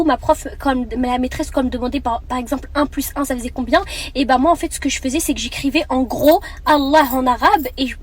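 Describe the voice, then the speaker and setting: A woman in her twenties from France, telling a personal story in a casual, animated way and sprinkling in Arabic phrases. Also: sits high. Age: 20s. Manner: animated, fast, chatty, mixes Arabic phrases. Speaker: content creator.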